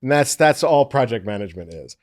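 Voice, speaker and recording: A man's voice: medium pitch, expressive, a little fast, a little mellow. A man, an audiobook producer, talking casually and explaining his work step by step.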